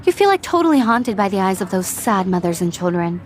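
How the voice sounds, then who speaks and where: A woman's voice: mid-range voice, sing-song, brisk, a little hiss or hum, lightly acted. A woman playing a haughty, eager teenage art student in a video game, speaking in an affected, opinionated way. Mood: self-assured.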